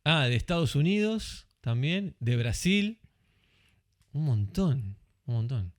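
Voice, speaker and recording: Uruguayan male podcast host, chatty and friendly, dry close studio sound, mid pitch. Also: very animated, takes it slowly, dry recording. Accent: Uruguayan Spanish. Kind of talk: casual chat.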